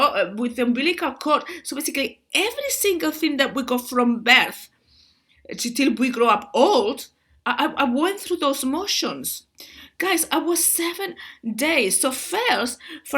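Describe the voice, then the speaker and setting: Excitable Spanish-accented woman, lively pitch. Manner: excitable, addresses viewers as guys, frequent restarts. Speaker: spiritual video host. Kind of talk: casual monologue to viewers.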